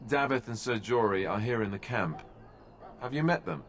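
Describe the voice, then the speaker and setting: A man's voice: mid-range voice, expressive, normal speed, a bit bright, soft background music. An actor playing a young male warrior who was once a templar, speaking in a dry, wry way in a video game.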